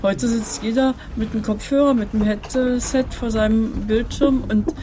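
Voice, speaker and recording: A woman's voice: a little high, steady tone, normal speed, noisy background. An older woman reminiscing casually among long-time radio colleagues. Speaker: veteran radio studio colleague.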